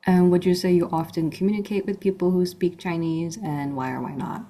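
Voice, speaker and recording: A young woman asking prepared interview questions clearly, as if reading from a script. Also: on the low side, fairly even intonation, a little fast, dark tone. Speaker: student interviewer.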